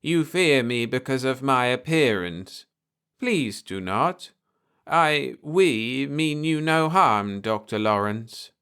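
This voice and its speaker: A man voicing a courteous reptilian alien starship captain, speaking softly and formally with a slightly slurred, measured delivery. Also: medium pitch, lively intonation, clear, resonant tone. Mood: gentle, reassuring. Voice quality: soft, slurred voice.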